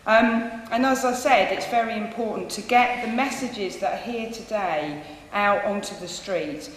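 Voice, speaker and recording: A woman giving a persuasive talk at a public event, earnest and pausing on many ums. She projects her voice, which she calls loud. The sound carries a long, heavy echo from a big hall and a light hiss. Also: mid-range voice. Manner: says she has a loud voice. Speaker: campaign speaker. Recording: echoey hall, faint noise.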